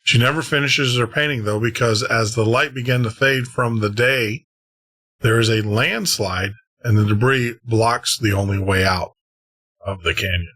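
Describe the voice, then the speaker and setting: A man hosts a late-night podcast in a loose, rambling way, chuckling as he goes. His voice is bright and forceful. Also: mid-range voice, normal speed. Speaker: podcast host. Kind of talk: casual podcast chat.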